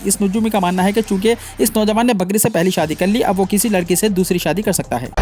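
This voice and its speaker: A man's voice: high-pitched, a little mellow. A man reading scripted news in a formal manner, delivering headlines and stories as a news reader.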